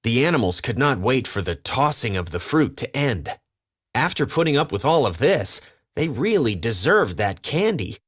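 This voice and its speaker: A man's voice: medium pitch, fairly bright tone, breathy edge. A man reading a children's storybook as a scripted narration.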